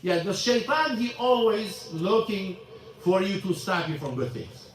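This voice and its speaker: A man's voice: high-pitched, a little slow, a little hiss or hum. A man teaching a casual religious class in a mosque, in broken English, often repeating himself. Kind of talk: informal religious lesson. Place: mosque hall. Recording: echoey mosque hall, mic from far off.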